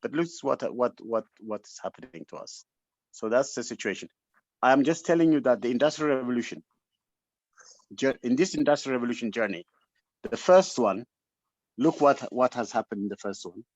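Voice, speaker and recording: A man's voice: mid-range voice, normal speed, darkish tone, no room sound. Emphatic Ethiopian man, dull webinar audio. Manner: emphatic, repetitive, exhortative. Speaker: webinar speaker. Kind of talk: impassioned motivational address, largely unscripted. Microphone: online call microphone.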